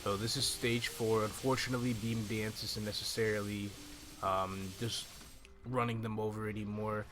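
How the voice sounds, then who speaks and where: A man's voice: fairly low pitch, level tone, normal speed. A man in his twenties giving laid-back, explanatory walkthrough commentary on a video game, speaking close to a desktop microphone. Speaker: gaming YouTuber. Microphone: desktop microphone on a stand, close. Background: game music.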